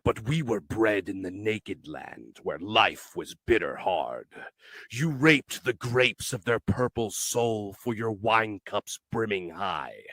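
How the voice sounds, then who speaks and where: A man recites verse with solemn, declamatory weight. His tone is bright and forceful, and he moves slowly through each measured phrase. The sound is dry, with very little room on it. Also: on the low side, animated, no room sound. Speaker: poetry reader. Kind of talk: dramatic poetry recitation.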